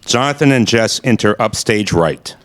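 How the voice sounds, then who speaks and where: A man's voice: fairly low pitch, expressive, moderate pace, fairly bright tone. A man hosting a radio-play show in a jokey, self-mocking monologue who also reads the stage directions. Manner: jokey, self-mocking, talking straight to the audience. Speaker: host and narrator.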